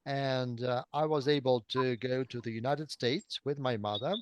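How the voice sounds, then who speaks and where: Clear-voiced Russian man, careful speaker. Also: medium pitch, steady tone, normal speed, no room sound. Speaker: interview guest, simultaneous interpreter. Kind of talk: conversational interview answers about own career.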